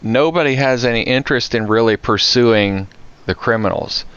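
A man's voice: mid-range voice, moderate pace, fairly bright tone, clean tone, no echo, highs cut off. An American man chatting casually on a podcast as a co-host, telling anecdotes in a conversational way. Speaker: podcast co-host, IT security professional.

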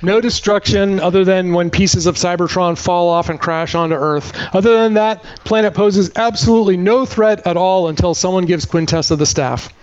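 A man's voice: high-pitched, normal speed, few pauses, clear, resonant tone, lo-fi sound. A man giving a comedic movie plot recap as a podcast host, with sarcastic, exasperated storytelling.

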